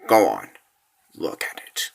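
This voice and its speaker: A man voicing a grave, solemn mentor in a comic dub, speaking slowly and low. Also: a little hiss or hum, lightly acted. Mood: stern. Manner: slow, solemn, low-voiced. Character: grave, solemn mentor urging a warrior onward.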